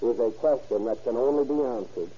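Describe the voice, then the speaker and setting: Very mellow, muffled police chief on old radio. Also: male voice, medium pitch, fairly quick, husky. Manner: stiff, formal official delivery. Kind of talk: scripted 1930s radio report.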